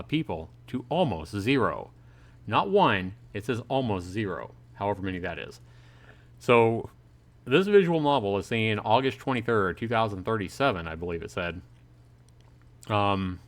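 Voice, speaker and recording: A man chatting informally and jokingly with viewers as he reads and comments on a visual novel on a livestream. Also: lowish voice. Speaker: livestreaming gamer reading a visual novel to chat. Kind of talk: casual livestream play-through commentary mixed with reading aloud.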